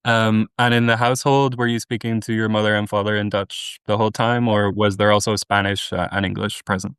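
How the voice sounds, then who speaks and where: A man hosts a podcast interview in a measured, formal way. He introduces his guest and asks careful questions. His voice is smooth and clear, with a dry sound close to the mic. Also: lowish voice, little pitch movement, a bit bright, dry recording. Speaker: podcast host.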